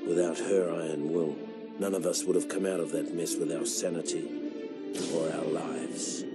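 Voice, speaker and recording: An actor playing a world-weary veteran clone soldier, narrating his war memories in a measured, solemn way for a video game. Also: low-pitched, flat delivery, creaky, loud music behind the voice. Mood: somber, haunted. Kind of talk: scripted narration, military memoir.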